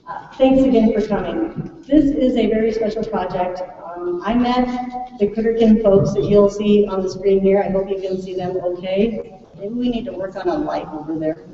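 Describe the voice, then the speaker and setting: A woman's voice: mid-range voice, normal speed, mellow. An American woman, a teacher, giving an informal, chatty welcome speech and prompting her listeners with questions. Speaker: third grade teacher presenting a class project. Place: large echoey room at a school event. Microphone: distant microphone. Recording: echoey hall, distant mic, muffled, faint noise.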